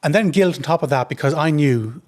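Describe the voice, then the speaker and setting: A man with an Irish accent, a trauma surgeon, answering interview questions in an earnest, measured way with frequent restarts. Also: on the high side, darkish tone. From Ireland.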